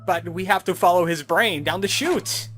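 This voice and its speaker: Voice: mid-range voice, normal speed, a bit bright. A video game commentator narrating a playthrough with playfully sarcastic asides. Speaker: gaming video host. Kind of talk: Let's Play commentary. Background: adventure game music.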